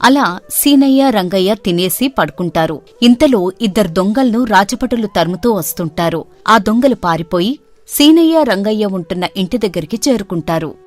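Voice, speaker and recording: A woman's voice: mid-range voice, expressive, moderate pace, soft background music. Woman narrating a bedtime story.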